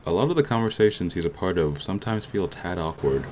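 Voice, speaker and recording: A man's voice: sits low, expressive, fast talker, background noise. A man reading a scripted, analytical video essay as narrator, with instrumental music behind him.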